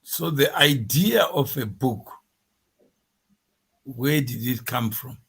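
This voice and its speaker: A man hosting an interview, asking probing questions in a slow, deliberate way. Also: medium pitch, lively intonation, creaky, a bit bright, breathy edge. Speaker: interview host.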